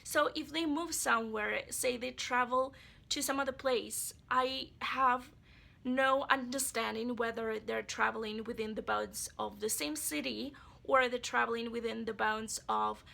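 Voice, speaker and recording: A woman's voice: fairly high pitch, unhurried, gravelly, pushed and bright. A woman giving a chatty, rambling book review and speaking straight to her viewers, her voice a bit off from being sick. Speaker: book vlogger. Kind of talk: casual book review vlog.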